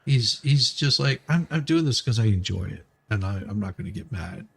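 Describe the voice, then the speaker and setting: A man's voice: lowish voice, expressive, normal speed, vocal fry, slightly rough. A man chatting casually as a live stream co-host, thinking aloud and repeating words as he goes. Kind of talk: casual chat.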